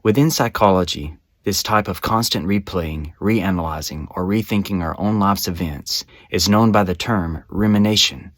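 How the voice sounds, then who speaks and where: A man narrating a scripted guided meditation as a guide, with measured, soothing, instructional phrasing. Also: sits low, no echo.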